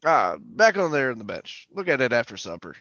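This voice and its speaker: An actor playing a garrulous middle-aged former oil-rig roughneck, telling his tale in a rambling, folksy radio drama manner. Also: mid-range voice, big pitch swings, fairly quick, sharp tone, no echo, highs cut off. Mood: friendly, easygoing. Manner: rambling, folksy, colloquial storytelling. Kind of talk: old-time radio drama monologue and dialogue.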